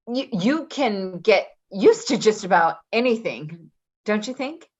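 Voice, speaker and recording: Casting: actress for brash, talkative female caregiver, highly varied intonation, naturalistic play-reading delivery, prickly and defensive; muffled lo-fi recording. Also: expressive, darkish tone, husky. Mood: chatty. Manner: rambling, halting, with nervous laughter. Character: brash, chatty, defensive woman caregiver named Patti. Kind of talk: naturalistic readers theater acting.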